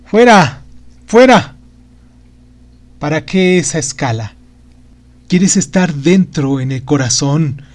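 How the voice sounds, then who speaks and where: A man voicing a trembling, tormented old magician in an anguished, pleading lament, in a dramatic audiobook reading. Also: a little high.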